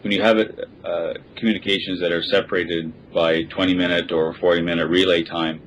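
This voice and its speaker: A man's voice: deep voice, level tone, fairly quick, a bit bright, slight background noise, rough recording. A man giving an explanation as an expert podcast guest. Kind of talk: explanatory discussion.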